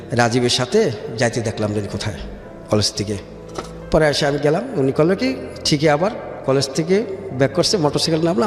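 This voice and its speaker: A man with a Bangladeshi accent telling his personal story as a radio interview guest, haltingly and often repeating words. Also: mid-range voice. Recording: echoey hall-like reverb with music. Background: music.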